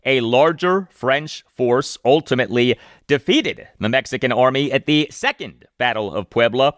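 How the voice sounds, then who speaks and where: A man's voice: medium pitch, moderate pace, no echo, studio quality. Emphatic American man hosting a sports podcast. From United States. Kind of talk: solo sports podcast monologue, part scripted.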